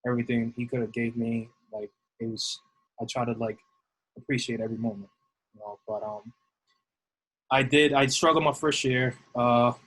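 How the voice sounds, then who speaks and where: A man's voice: mid-range voice, steady tone, normal speed, long pauses, a bit bright, breathy edge, poor audio. A middle-aged man from New City, answering interview questions in a rambling way with many fillers. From New York City. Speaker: guest, assistant baseball coach.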